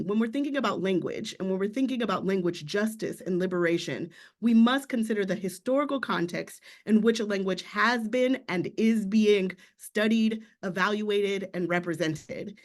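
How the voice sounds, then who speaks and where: An academic presenter giving a conference talk in a measured, thoughtful way, with frequent fillers. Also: medium pitch.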